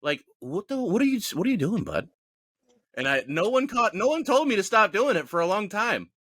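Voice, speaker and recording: A man with a bright, forceful voice recorded dry and close. He co-hosts a casual podcast and rants about his pet peeves. He stays opinionated while poking fun at himself. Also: high voice, brisk, no echo. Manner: opinionated, animated, self-mocking. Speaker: podcast co-host. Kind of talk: casual ranting chat.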